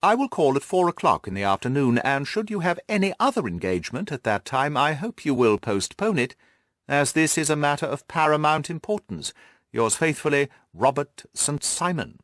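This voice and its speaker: A man's voice: fairly high pitch, big pitch swings, normal speed, fairly bright tone, dry recording, clean studio sound, voice pushed up. A man voicing a formal, courteous, aggrieved nobleman's letter in an audiobook, in a stiff manner. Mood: formal, concerned. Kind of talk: letter read aloud in an audiobook.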